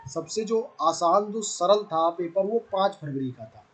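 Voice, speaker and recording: Male coaching teacher, North Indian accent, high-pitched voice, explains conversationally and keeps checking in with listeners. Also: lively intonation, unhurried, a bit bright. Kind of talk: informal explanatory lesson.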